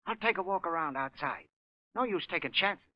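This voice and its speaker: An actor playing a slangy, wisecracking American gangster henchman with a gravelly voice in a 1930s radio drama. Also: sits high, lively intonation, brisk. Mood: wary. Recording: muffled vintage 1930s radio recording.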